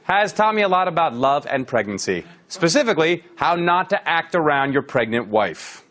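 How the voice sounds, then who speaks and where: Snarky high-voiced male TV host. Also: moderate pace. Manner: deadpan snarky jokes, laughing audience reacting. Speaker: comedy clip show host. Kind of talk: sarcastic scripted commentary on TV clips. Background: studio audience laughter.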